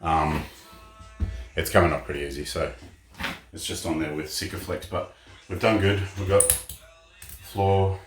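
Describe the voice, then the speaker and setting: Deep voiced guy chatting casually, plain spoken, echoey room w radio music in the back. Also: unhurried, long pauses. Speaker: vlog co-host showing renovation work. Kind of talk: casual vlog chat. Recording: roomy echo with faint music behind.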